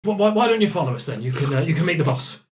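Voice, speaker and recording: A man's voice: a little high, animated, very fast, a little hiss or hum, pitched above the performer's own voice, natural voice. A man voicing a simple, talkative vampire guard in a tabletop game, blustering yet chatty and easily swayed. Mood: chatty, friendly. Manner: blustering, then chatty and hesitant.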